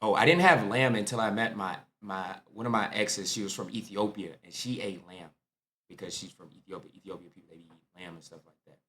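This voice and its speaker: A man's voice: fairly low pitch, fairly quick, fairly bright tone, a little hiss or hum. A young American man with an American accent hosting a casual reaction video, talking in laid-back slangy banter. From United States.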